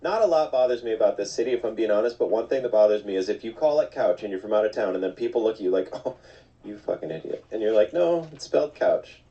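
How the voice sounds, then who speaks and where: A man's voice: lowish voice, lively intonation, fast, dark tone, recorded from a distance, highs cut off. Wry man in his forties, joking. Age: 40s. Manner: wry, self-mocking, laughs easily. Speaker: touring comedian guest. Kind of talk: casual podcast banter.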